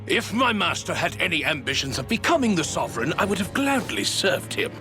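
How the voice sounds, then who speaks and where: A sneering, treacherous court advisor for a fantasy game. The voice sits fairly high and swings widely in pitch, dripping with contempt for those beneath him. Music plays clearly behind it. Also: male voice, big pitch swings, a little fast. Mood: bitter scorn. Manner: haughty, sneering, theatrical. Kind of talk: dramatic video game acting.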